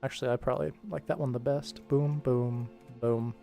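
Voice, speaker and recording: A man's voice: mid-range voice, steady tone, moderate pace, gravelly. A man streaming his work as an animation director, talking informally and rambling as he thinks aloud. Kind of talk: casual livestream work commentary. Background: game ambient music playing softly.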